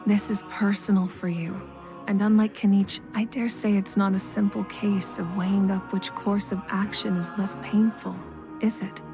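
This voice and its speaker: A woman playing a calm fire goddess leader. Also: medium pitch, music in the background, close to an everyday voice. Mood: composed. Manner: measured, patient, reasoning. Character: calm, authoritative female fire goddess ruler. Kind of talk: game voice acting.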